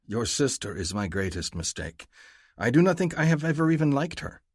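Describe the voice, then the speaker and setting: A man playing a large, protective alien male who speaks in a low, measured, formal way. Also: sing-song, husky, dry recording, clean studio sound. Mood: tender.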